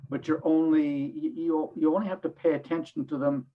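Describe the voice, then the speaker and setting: An older grandfather with a hoarse voice, speaking earnestly and personally as a panelist on a video call. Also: on the high side, little pitch movement, fairly quick. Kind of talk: webinar panel remarks. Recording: slightly dull lo-fi audio.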